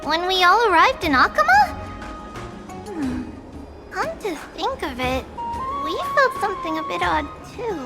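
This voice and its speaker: A woman's voice: very high voice, very animated, measured pace, full character voice. A woman voicing a tiny, cheerful childlike girl priest in a video game, bubbly, exclamatory, helpful and proud. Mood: playful, encouraging. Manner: bubbly, exclamatory, speaks of herself as "we". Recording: music behind the voice.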